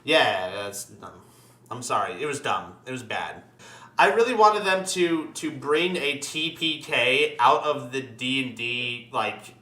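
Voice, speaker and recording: A man's voice: mid-range voice, pushed and bright. A man in casual opinionated chat as a podcast host, ranting emphatically and swearing often. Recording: echoey room.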